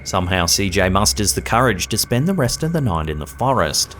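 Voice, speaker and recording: A man reading scripted narration in a measured, suspenseful way. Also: on the low side, very animated, a bit bright, slight background noise.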